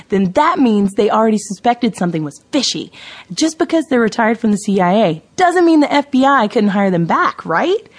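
A woman's voice: medium pitch, breathy edge. Brash wisecracking woman sleuth, played by an actress. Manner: sarcastic, blunt, self-assured. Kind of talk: audio drama dialogue.

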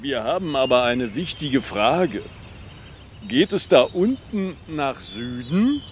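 An actor playing a big, gentle and confident zoo elephant in a children's audiobook, speaking deliberately and matter-of-factly. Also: male voice, medium pitch, very animated, slight background noise. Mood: cheerful, determined.

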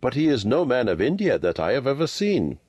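Man playing Kim, a cheeky, lively street boy. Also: medium pitch, brisk, breathy edge, dry recording, highs cut off, voice pushed up. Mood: sure, bold.

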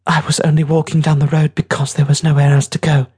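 A narrator as a plaintive high-pitched young boy. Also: flat delivery, fast, a little mellow, slightly rough. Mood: indignant. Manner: plaintive, protesting. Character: frightened, grieving ten-year-old boy, naive and sulky.

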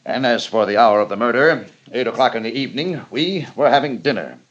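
A man's voice: mid-range voice, animated, fairly quick, a bit bright, lightly acted. Man as a composed rich businessman, boardroom tone, dull old radio sound.

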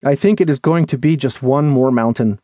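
A man voicing an excitable, weary and earnest male hiker friend in dramatic, pleading audiobook dialogue. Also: mid-range voice, a little fast, no echo, lightly acted. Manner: exclamatory, pleading, questioning. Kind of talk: acted dialogue in audiobook memoir.

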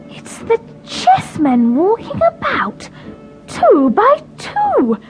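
An eager, imaginative little girl in an audiobook drama. Her voice is very high and lilting, with wide swings in pitch and a slow pace, over faint music. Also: heavily acted. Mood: wonder.

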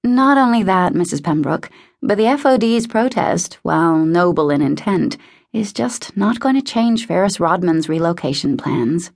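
A woman voicing a patient, unfazed female innkeeper in a novel, with a mild, gentle, diplomatic manner. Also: lowish voice, animated, normal speed, creaky, dry recording, studio quality. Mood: gently firm.

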